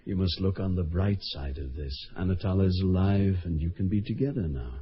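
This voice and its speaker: An earnest, reassuring sweetheart in a radio play. The voice is deep and very husky, on a dull lo-fi recording. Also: male voice, expressive, fairly quick, heavily acted. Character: earnest, reassuring young male sweetheart of an athlete. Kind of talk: radio drama acting.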